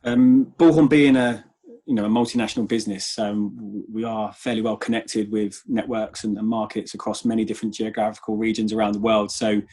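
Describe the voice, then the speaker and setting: A man speaking as a guest in a conversational business interview, measured and often repeating words as he goes. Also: on the low side, level tone, dark tone. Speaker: interview guest, sales manager.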